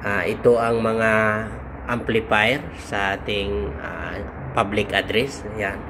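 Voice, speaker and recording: A Filipino man giving an informal instructional walkthrough, speaking haltingly in short phrases with pauses. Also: fairly low pitch, fairly even intonation. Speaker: host of an electronics how-to video. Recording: clear background noise, vehicle-like hum.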